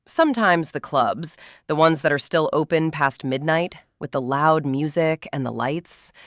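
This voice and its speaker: A woman in her forties narrating a first-person story as a candid, conversational confession, with emphatic short phrases and pauses. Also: sits low, no echo, clean studio sound. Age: 40s.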